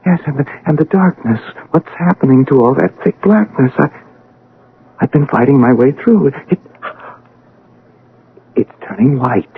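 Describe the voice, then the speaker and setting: An actor playing an awestruck scientist out of his body, halting and dramatic, in an old-time radio drama. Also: mid-range voice, animated, creaky. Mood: wondering, yearning. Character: awestruck scientist floating outside his own dead body. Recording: narrow-band, noisy old radio broadcast.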